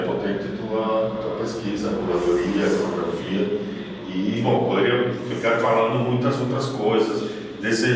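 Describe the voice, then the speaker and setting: A man from Cuba giving halting remarks at an art opening, with many fillers and restarts. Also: fairly low pitch. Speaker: exhibition visitor giving remarks. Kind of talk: spoken tribute at an art opening. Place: art gallery. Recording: echoey gallery, distant mic, crowd behind.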